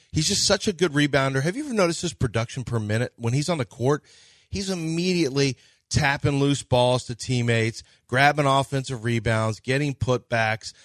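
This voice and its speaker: A man's voice: medium pitch, dry recording. A man hosting a sports talk radio show, rambling and joking, often restarting his sentences.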